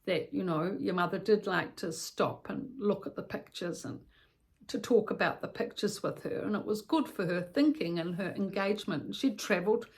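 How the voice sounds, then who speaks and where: A woman's voice: mid-range voice, normal speed. A woman with a New Zealand accent gives practical advice as informal storytelling, in a conversational, rambling way with repetitions and self-corrections. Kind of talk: informal instructional storytelling addressed to the listener.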